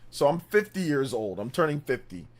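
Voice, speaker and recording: A man's voice: mid-range voice, big pitch swings, moderate pace. A man in his fifties hosting a casual gaming livestream, rambling and correcting himself as he talks to chat, laughing often. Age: 50s. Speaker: livestream host.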